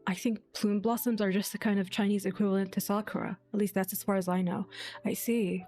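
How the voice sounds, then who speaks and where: A woman with a mid-pitched voice comments casually on a game playthrough. She reads aloud and reacts in a conversational way, with soft music underneath. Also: level tone, brisk. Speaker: game streamer.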